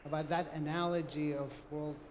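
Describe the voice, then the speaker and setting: Higher-voiced man asking from a hall audience. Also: flat delivery, moderate pace. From Iraq. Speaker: audience member asking a question. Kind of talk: polite, formal audience question. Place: large book fair session hall. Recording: echoey hall, audible background noise.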